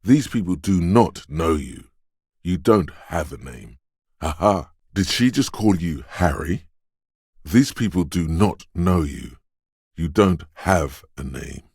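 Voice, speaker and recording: A man voicing a detective's quick-witted inner instinct in a video game, narrating in a measured, knowing, dramatic way. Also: deep voice, lively intonation, creaky, darkish tone, husky, no echo, studio quality, exaggerated voice. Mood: wary, insistent. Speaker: voice actor. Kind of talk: second-person game narration.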